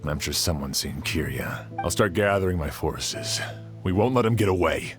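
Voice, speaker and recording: An earnest lawman in a fantasy video game. His voice is on the low side, firm and resolute, with music clearly playing behind. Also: animated, moderate pace. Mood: determined. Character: earnest, resolute local sheriff, an adult man.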